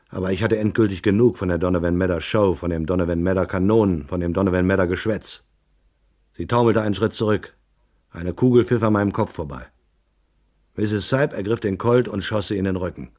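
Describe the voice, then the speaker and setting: A man's voice: sits low, darkish tone. A man playing a tough private detective who narrates a hard-boiled radio crime drama tersely and matter-of-factly. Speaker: first-person narrator.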